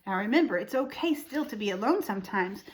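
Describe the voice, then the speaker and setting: A woman reading a picture book aloud to young children, pausing to explain things simply and ask questions. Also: medium pitch. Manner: expressive, asks the listener questions, explains simply. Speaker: storytime reader for young children.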